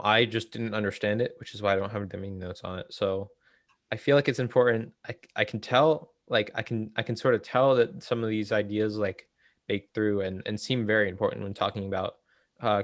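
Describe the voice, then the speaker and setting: A young man with a fairly low voice, recorded dry and close. He talks casually about political theory as a co-host, hedging and feeling his way through each thought. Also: no echo. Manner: halting, hedging, thinking aloud. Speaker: co-host of a book read-along series.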